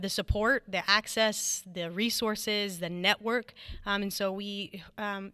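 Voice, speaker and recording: A woman's voice: medium pitch, sharp tone, clean tone, no room sound. A woman as an interview guest, speaking articulately with frequent "um"s. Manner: articulate, with frequent "um" and "mm-hmm" backchannels. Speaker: guest, engineering society leader. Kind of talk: casual interview answers.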